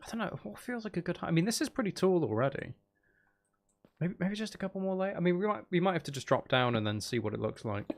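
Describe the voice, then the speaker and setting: A man's voice: sits high, lively intonation, fast talker. A man giving casual livestream commentary on a game, thinking aloud to his viewers in a musing way. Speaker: game streamer.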